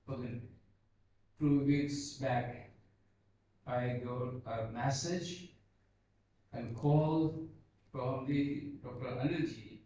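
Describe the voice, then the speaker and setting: A man whose first language is Bhoti gives an unscripted seminar talk, halting and searching for words as he speaks slowly and earnestly. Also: mid-range voice, fairly even intonation, mellow, a little hiss or hum. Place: seminar hall. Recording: echoey hall, distant dull mic.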